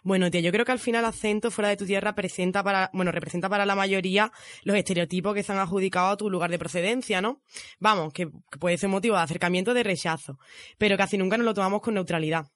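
A woman's voice: medium pitch, steady tone, quick pace, dry recording. A woman with a Spanish accent from Spain, co-hosting a segment in a casual, conversational way. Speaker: co-host of a feminist radio segment.

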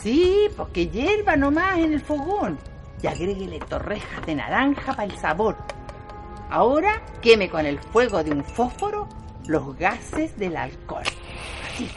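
An actress playing a bossy, hearty country woman in a radio drama, with a rural Chilean accent and a brisk, colloquial way of instructing. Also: mid-range voice, sing-song, soft background music.

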